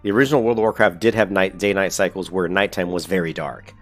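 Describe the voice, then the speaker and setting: Casting note: man with a very low voice, stuffy from a cold, chatty and upbeat, faint music underneath. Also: fairly even intonation, quick pace, a bit bright. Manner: rambling, self-correcting, upbeat. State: congested with a cold. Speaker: gaming video host. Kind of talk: casual commentary reading a website.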